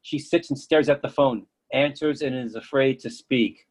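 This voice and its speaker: A man's voice: medium pitch, moderate pace. A man reading stage directions as scripted narration for a play.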